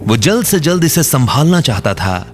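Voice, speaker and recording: A male narrator reads a scripted audio drama story. His voice sits in a middle register, with soft music running underneath. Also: medium pitch, big pitch swings, normal speed.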